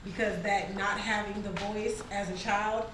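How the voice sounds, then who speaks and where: A woman's voice: medium pitch, fairly even intonation, unhurried, fairly bright tone, slight background noise. Earnest woman in a very echoey room. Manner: earnest, emphatic, repeats key phrases. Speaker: cast member at a table read. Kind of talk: casual group discussion. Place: indoor room at a table read. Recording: very echoey room, distant mic, people behind.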